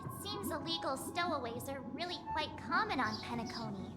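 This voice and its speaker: A woman's voice: high voice, lively intonation, brisk. A woman voicing an outspoken, judgmental young girl in a video game, blunt and indignant. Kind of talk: scripted video game dialogue. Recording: loud game music behind.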